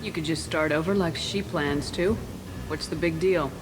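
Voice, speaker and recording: A woman's voice: low-pitched, moderate pace, long reverb tail, natural voice, rough recording. A woman playing a tough, decisive military space commander in a video game, direct and businesslike. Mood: probing. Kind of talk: video game dramatic acting.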